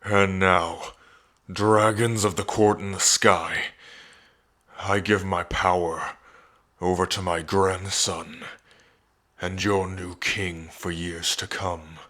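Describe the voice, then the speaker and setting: A man's voice: low voice, little pitch movement, takes it slowly, bright, forceful tone, full character voice. Man voicing a solemn old dragon king, proclaiming. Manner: formal, proclaiming. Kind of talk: fantasy ASMR roleplay.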